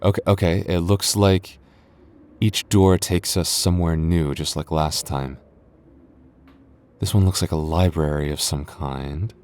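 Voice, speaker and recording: A man playing a gentle, sentimental goth boyfriend in an ASMR roleplay, musing hesitantly to his companion. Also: deep voice, steady tone, measured pace. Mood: puzzled, searching. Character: gentle, sentimental goth boyfriend lost in endless haunted street.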